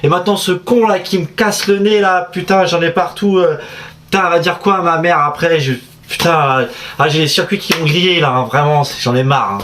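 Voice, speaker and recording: A man voices a whiny, nerdy young man in a comedy sketch, complaining in a fairly high voice. A faint hiss sits behind him. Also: a little fast, a bit bright, close to an everyday voice. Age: 20s. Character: fussy nerdy man living with his mother.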